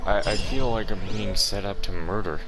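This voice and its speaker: A man's voice: lowish voice, normal speed. A man hosting a gaming video with casual, informal playthrough commentary. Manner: informal, swearing. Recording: game music behind the voice.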